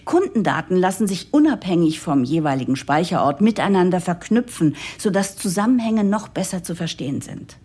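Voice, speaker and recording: A woman's voice: on the low side, very animated, brisk, few pauses, darkish tone, slightly rough. An actress playing an earnest, composed woman who narrates an office audio drama in a formal, diplomatic way. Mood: formal, persuasive. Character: earnest, composed woman narrator championing change, jargon-heavy.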